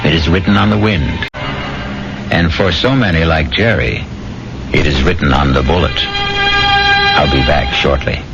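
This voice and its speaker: Deep-voiced theatrical male radio host. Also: normal speed, creaky, pauses often. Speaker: host and narrator of a mystery radio series. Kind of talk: dramatic 1970s radio narration. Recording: dull 1970s radio recording, loud music behind.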